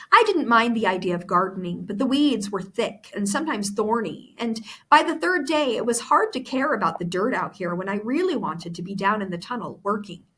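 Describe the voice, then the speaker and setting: A woman's voice: mid-range voice, fairly bright tone, husky. A woman teacher reading a story aloud expressively, with explanatory asides.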